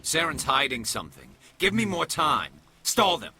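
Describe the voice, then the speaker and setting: A man voicing a determined, suspicious alien police investigator in a video game, with a gravelly voice. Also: a little high, wildly swooping pitch, normal speed, sharp tone, soft background music, exaggerated voice. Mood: urgent.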